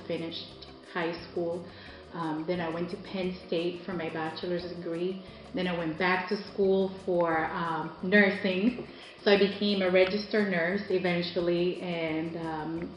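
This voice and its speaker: Low-voiced woman in a roomy recording. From Cuba. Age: about 40. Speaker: interviewee. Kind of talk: personal interview, recounting life story. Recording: roomy echo, music behind.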